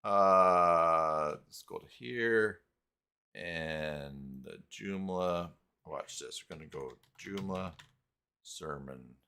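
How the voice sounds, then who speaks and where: A man with a low voice hosts an informal live tutorial. He talks casually to his viewers and works things out aloud, in a halting, unscripted way. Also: bright, forceful tone, clean tone. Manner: halting, thinking aloud while clicking around. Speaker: live stream host. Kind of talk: casual live tutorial talk, reading chat.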